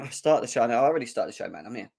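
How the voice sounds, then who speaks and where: A young man, his voice hoarse and croaky, hosting a live football fan show and chatting energetically while clearing his throat. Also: medium pitch, expressive, very fast, a little mellow. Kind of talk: live-stream chat.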